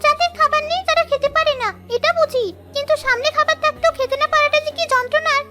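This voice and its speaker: A woman's voice: very high-pitched, steady tone, fast talker, loud music behind the voice. A woman voicing a pampered, fretful young daughter in a cartoon, exclaiming and questioning. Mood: sulky. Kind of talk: cartoon dialogue.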